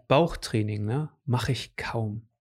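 A man's voice: medium pitch, slow, crowd noise. A man in his late twenties chatting casually about his training goals, rambling with lots of fillers and restarts. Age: late 20s. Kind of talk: casual chat.

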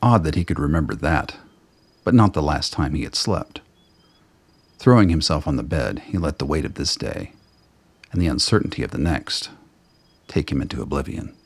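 A man narrating a scripted radio drama in a measured, literary storytelling manner. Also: a bass voice, animated, vocal fry, a little hiss or hum.

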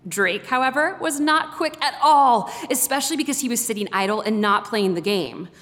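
A woman's voice: fairly high pitch, lively intonation, moderate pace, fairly bright tone. A woman reading a story aloud expressively, with dramatic emphasis, as a guest reader. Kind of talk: expressive read-aloud storytelling in first person as an animal. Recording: long hall reverb.

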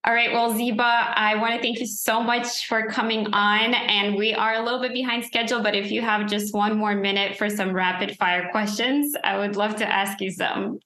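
A woman's voice: medium pitch, flat delivery, moderate pace, hardly any pauses, bright, forceful tone, roomy sound. A woman hosting a podcast, upbeat and encouraging as she asks questions. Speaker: podcast host. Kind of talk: casual interview chat.

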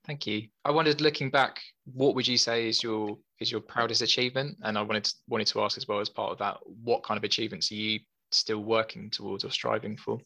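Gravelly, bright-voiced hesitant male interviewer. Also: fairly low pitch, fairly even intonation, a little fast, hardly any pauses. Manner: hesitant, rambling, searching for words. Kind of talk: asking interview questions.

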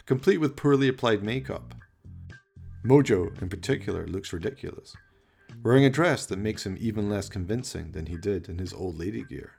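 A man's voice: on the low side, normal speed, creaky, darkish tone, husky, faint music behind. A man narrating a comic horror radio play with theatrical, dramatic storytelling.

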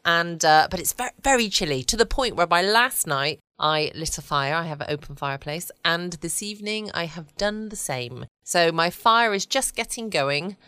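Bright, dry-miked woman. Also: on the low side, lively intonation, normal speed, few pauses, clear, resonant tone, dry recording. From United Kingdom. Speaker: podcast host. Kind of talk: casual, rambling bedtime chat. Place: home studio, dry close sound.

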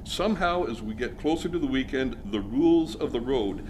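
A man's voice: mid-range voice, expressive, a little fast, a little mellow, a little hiss or hum. A man performing a scripted comic sketch, with a jokey but earnest delivery. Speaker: sketch writer and guest performer.

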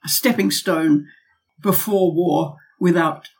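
A woman's voice: on the low side, takes it slowly, husky. A woman, a former diplomat and academic, speaking as a guest in a podcast interview and arguing her points emphatically with rhetorical questions. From Australia.

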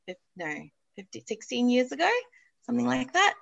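A woman's voice: a little high, big pitch swings, brisk, creaky, slightly rough, dull audio. A woman in her thirties from Malaysia, chatting as an interview guest about her business and family, laughing easily with frequent ums. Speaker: guest, activewear business founder. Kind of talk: podcast interview chat.

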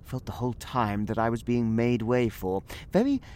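A man's voice: medium pitch, expressive, moderate pace, a little mellow, slight background noise, natural voice. A British man playing a genteel, talkative Victorian magazine writer in an audio drama, rambling and theatrical in manner. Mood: whimsical, teasing. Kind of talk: audio drama acting in period English.

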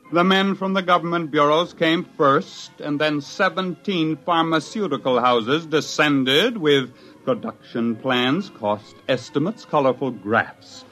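A man acting an excitable scientist husband who tells his own story in a 1950s radio drama, with dramatic, wry delivery. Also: mid-range voice. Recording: dull 1950s radio recording, music behind.

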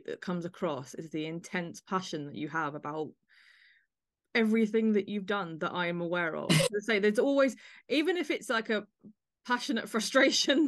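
A woman chatting casually as a podcast interviewer. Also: medium pitch.

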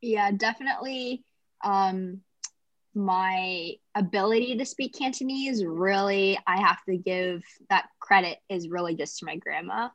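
A woman's voice: medium pitch, measured pace, fairly bright tone, no echo, lo-fi sound. A young woman from Canada, chatting casually in an interview and often saying "like". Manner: chatty, lots of "like" fillers.

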